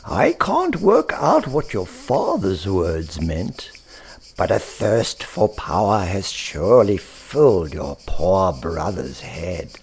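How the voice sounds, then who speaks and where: A man's voice: low voice, huge pitch swings, slow, vocal fry, slight background noise, heavily acted. A man voicing a wise, kindly old rabbit in a children's story, with a grand, genial, theatrical manner. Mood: pondering. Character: wise, kindly old grey-whiskered male rabbit hermit.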